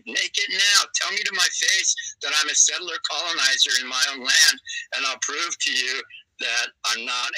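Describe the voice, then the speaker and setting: A man's voice: medium pitch, sharp tone, rough recording. A man from California arguing hard as a guest on a live panel over a video call, emphatic and swearing. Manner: angry, profane, emphatic. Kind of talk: heated live panel debate.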